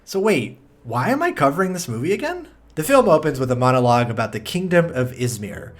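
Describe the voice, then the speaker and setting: A man's voice: mid-range voice, big pitch swings, fairly quick. A man narrating a scripted video essay in a blunt, profane, opinionated way.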